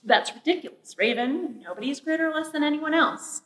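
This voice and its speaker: A woman's voice: sits high, a little fast, a bit bright. A woman voicing a sensible female bear in a storybook tale, earnest and firmly admonishing. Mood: frustrated, firm. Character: sensible, firm female bear who scolds the vain. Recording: echoey room, distant mic.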